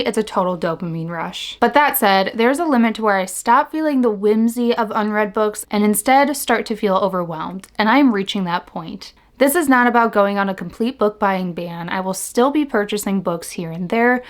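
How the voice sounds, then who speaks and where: A young woman narrating a scripted voice-over intro in a measured, earnest way. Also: mid-range voice.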